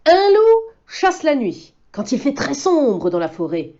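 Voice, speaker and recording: A woman's voice: high-pitched, wildly swooping pitch, slow pace, warm tone, pitched above the performer's own voice, heavily acted. A woman voicing three blunt, chatty bears who explain things emphatically in a storybook reading, on a slightly dull recording. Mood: emphatic.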